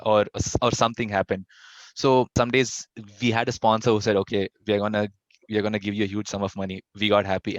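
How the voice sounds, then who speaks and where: Young guy with a stutter, low-ish voice, kinda dull dry recording. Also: no room sound. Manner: stutters, frequent restarts. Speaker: interviewee, fest founder. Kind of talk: casual interview answers.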